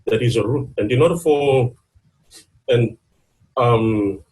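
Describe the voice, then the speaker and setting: A man with a Fijian accent and a slightly shaky voice, giving an informal talk to an online gathering with hesitant pauses. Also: medium pitch, darkish tone, husky, poor audio. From Fiji, Lau islands. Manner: hesitant, pausing mid-phrase. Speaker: guest speaker, university student. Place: online video meeting.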